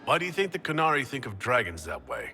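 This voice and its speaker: An actor playing a confident, easygoing heroic leader in a video game cutscene. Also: male voice, mid-range voice, huge pitch swings, fast talker, sharp tone, slight background noise. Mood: curious.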